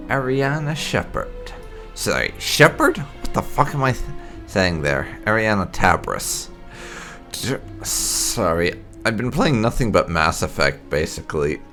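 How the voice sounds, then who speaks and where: A man's voice: on the low side, a bit bright. A man giving casual, rambling let's play commentary as a gaming YouTuber.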